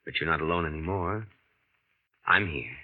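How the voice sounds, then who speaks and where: A man's voice: rumbling low, normal speed. An actor playing a cool male impostor scheming for an inheritance in an old radio drama, speaking in a casual, slangy, hard-boiled way. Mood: reassuring. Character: smooth-scheming male impostor posing as an heir, narrating. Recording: muffled old radio recording.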